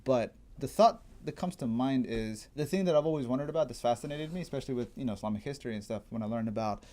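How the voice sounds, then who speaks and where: Mellow-voiced man explaining history casually. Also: medium pitch, fast talker. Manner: thinking out loud, digressive, informal. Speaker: guest martial arts enthusiast explaining history.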